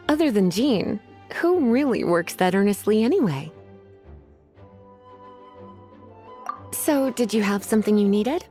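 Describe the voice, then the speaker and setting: Teasing, languid librarian with lively pitch. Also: female voice. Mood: playful, teasing. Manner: drawling, sighing, coquettish. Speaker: video game character voice actor. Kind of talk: scripted game dialogue, acting.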